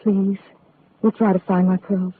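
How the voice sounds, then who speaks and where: A woman's voice: medium pitch, little pitch movement, unhurried, natural voice. An actress playing a heartbroken woman clinging to her lost love, pleading and emotional in 1940s radio drama style. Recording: tinny old radio broadcast with faint hiss.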